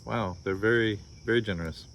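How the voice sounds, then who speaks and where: A man's voice: lowish voice, fairly quick, a bit bright. An American man reading a children's story aloud, breaking off for wry, casual asides. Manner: wry, joking commentary. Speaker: storybook reader.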